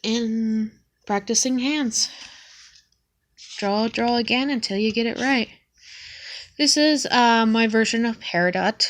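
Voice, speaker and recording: Casual narrator: young woman, stuffy and sniffly, unhurried, hesitant delivery. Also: medium pitch, fairly bright tone. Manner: hesitant, with many ums and restarts. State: sniffly from a cold. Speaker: vlogger showing her sketchbook. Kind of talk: casual sketchbook walkthrough.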